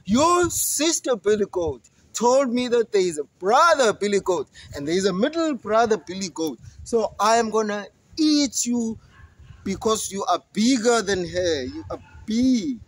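A greedy bridge monster growls about eating the goats, as a man acts him out. He speaks loudly and a little higher than the storyteller's own voice. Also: sing-song, a little slow, dry recording, exaggerated voice. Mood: menacing. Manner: loud, threatening. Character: greedy hungry male bridge monster, menacing.

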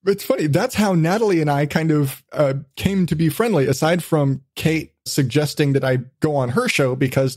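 A man's voice: a little high, darkish tone, dry recording, studio quality. A man hosting a podcast in casual chat, blunt, self-deprecating and swearing freely. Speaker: podcast host.

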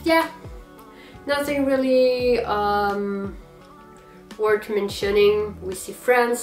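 A young French Canadian woman, French her first language, talks slowly and loosely to camera. Her voice rings a little in an echoey room, with soft music faintly underneath. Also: mid-range voice. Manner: unhurried and improvised, frequent pauses and fillers with short laughs. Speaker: cosplayer vlog host. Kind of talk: unscripted casual vlog. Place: small indoor guest room with manga shelves.

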